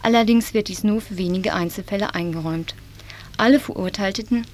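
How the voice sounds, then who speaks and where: Woman reading a critical report. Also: mid-range voice. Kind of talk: read commentary report. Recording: 1980s radio broadcast, faint noise.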